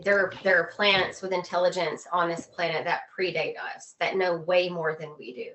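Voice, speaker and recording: A woman speaking as an interview guest in a casual, earnest way, halting and repeating phrases for emphasis. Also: on the low side, a bit bright, lo-fi sound, a little hiss or hum. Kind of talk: casual conversational explanation.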